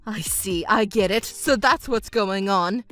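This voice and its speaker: A woman's voice: medium pitch, a bit bright, faint music behind, close to an everyday voice. A woman playing a composed, reproachful grown stepdaughter. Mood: cool, reproachful. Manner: measured, polite, coolly firm. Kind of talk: melodramatic dubbed story acting.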